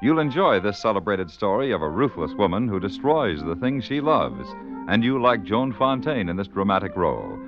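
Low-pitched radio announcer man, lively intonation. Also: moderate pace, over music. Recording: dull vintage radio recording.

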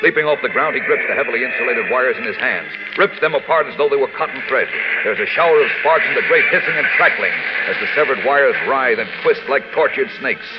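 An actor as a grand, suspenseful narrator of a 1940s radio adventure serial, declaiming on an old broadcast recording. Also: male voice, mid-range voice, expressive, fast, few pauses, very noisy, natural voice. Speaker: announcer and narrator. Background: dramatic music.

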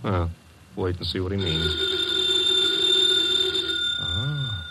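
A dry, wisecracking private eye, slightly low-voiced and quick with a comeback. The old radio broadcast carries a clear hiss of noise behind him. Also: male voice, expressive, full character voice. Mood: wry. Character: wisecracking young male private detective. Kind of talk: 1940s radio acting.

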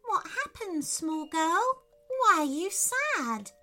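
A woman voicing a tiny, kindly firefly in a children's story, a wise teacher with a gentle, reassuring, motherly manner. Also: high voice, sing-song, a little slow, faint music behind, pitched above the performer's own voice, heavily acted. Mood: concerned.

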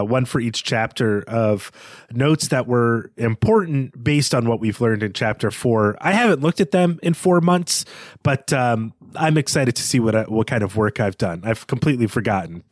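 Male podcast co-host, analytical and bookish, medium-pitched voice, dry studio-like sound. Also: no echo. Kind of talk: literary analysis discussion between co-hosts.

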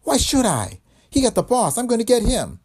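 A man voicing a tough, vengeful gangster's woman who talks in brassy slang, with a husky tone. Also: mid-range voice, sing-song, fairly quick, darkish tone, voice pushed up. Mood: shrill fury.

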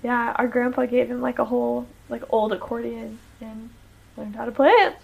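A woman's voice: on the high side, fairly even intonation, normal speed, creaky, breathy edge, narrow, tinny audio. A young American woman, a musician guest on a podcast, chatting casually with many fillers and frequent laughs. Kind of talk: casual chat.

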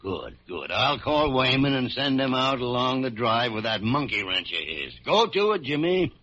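A man playing a gruff, shrewd older hospital doctor with a commanding manner in old-time radio drama. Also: medium pitch, very animated, moderate pace, sharp tone, slight background noise. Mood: brisk, pondering. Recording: muffled vintage radio recording.

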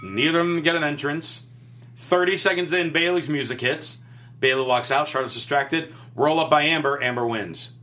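A man with a bright, forceful tone walks through wrestling matches step by step. He talks in a casual, chatty way, listing each move in short bursts. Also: a little high, a little hiss or hum. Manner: rapid play-by-play recounting. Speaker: wrestling recap co-host. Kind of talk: casual recap chat.

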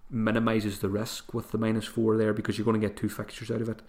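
A man hosting a solo podcast, thinking aloud with frequent restarts, his voice going hoarse. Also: on the low side, completely flat delivery, quick pace, a little mellow. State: voice getting hoarse. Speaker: podcast host. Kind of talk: solo podcast advice talk.

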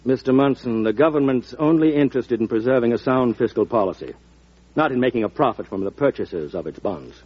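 Earnest government official, formal, husky mid-pitched voice, muffled vintage radio drama. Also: male voice, lively intonation, a little fast. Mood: formal, firm. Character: earnest, dutiful government treasury official, deferential yet firm. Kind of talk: old-time radio drama acting. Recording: muffled old radio recording.

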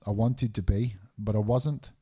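Middle-aged British man, dark rambling storyteller. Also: on the low side, steady tone, unhurried, breathy edge. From Scotland, raised in England. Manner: candid, rambling storyteller with many fillers. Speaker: MMA referee, guest. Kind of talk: casual podcast interview.

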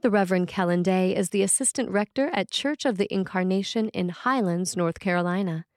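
A woman priest reading a scripted sermon in a measured, deliberate and solemn manner. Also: mid-range voice, darkish tone, no room sound, clean studio sound. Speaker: Episcopal priest reading a sermon written by a colleague. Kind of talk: read sermon, scripted narration.

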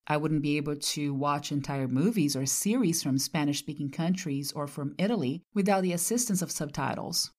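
A woman from Brazil, a native Portuguese speaker, hosts her own podcast and talks through her thoughts in a measured, reflective way. Her voice sits a little low for a woman. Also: darkish tone. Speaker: podcast host. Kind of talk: personal monologue.

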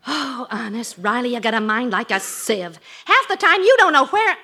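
A woman's voice: a little high, lively intonation, normal speed, sharp tone. A woman playing an exasperated, bossy American housewife in an old radio comedy, with an emphatic scolding manner. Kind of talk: 1940s radio sitcom acting.

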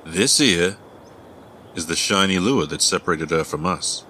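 A man's voice: sits low, expressive, measured pace, sharp tone, a little hiss or hum, heavily acted. A man playing a stern, haughty, loyal attendant to a monarch in a fantasy audio drama, speaking in a pompous, curt way. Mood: disdainful.

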